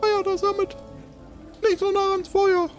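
A fussy shopkeeper in a squeaky falsetto, pitched extremely high. The figure talks briskly and asks nosy questions, as in an improvised radio play, with faint music underneath. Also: male voice, monotone. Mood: inquisitive, bossy.

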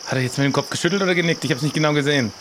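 A man's voice: on the high side, racing. Playful man bantering over noisy ambience. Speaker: player in improvised adventure play. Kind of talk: casual in-character improvised banter.

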